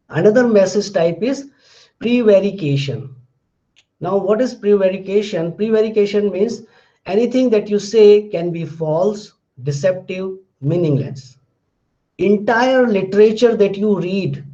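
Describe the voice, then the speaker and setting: A man's voice: sits high, warm tone, highs cut off. A man from India with an Indian English accent and Hindi as his first language, giving a methodical classroom lecture on linguistics and tagging his points with "fine". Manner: methodical, recaps terms, tags points with "fine".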